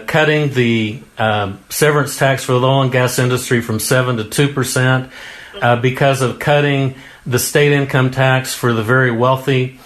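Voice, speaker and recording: Man, political candidate, folksy, homespun talk, mid-pitched voice. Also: fairly bright tone. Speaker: congressional candidate. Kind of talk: political interview answers.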